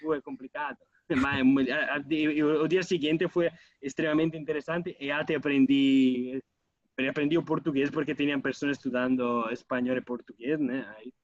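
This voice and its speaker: An Italian man speaking as an interview guest, halting and restarting as he mixes Portuguese with Spanish. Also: mid-range voice, fairly even intonation, dry recording, highs cut off. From Italy. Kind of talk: casual interview over a call.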